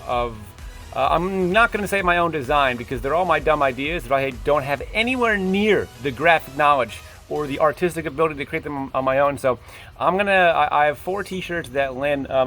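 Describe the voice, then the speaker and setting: A man's voice: on the high side, fairly bright tone. A man of twenty-eight talking casually as a vlog host, rambling and self-deprecating as he wanders into tangents. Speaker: YouTube creator and host.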